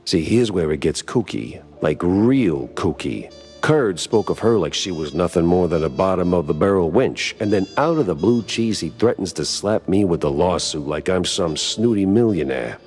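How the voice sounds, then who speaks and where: An actor playing a wisecracking, deadpan hard-boiled mouse detective in a noir cartoon game. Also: male voice, sits low, moderate pace, soft background music. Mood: wry. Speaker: private investigator protagonist.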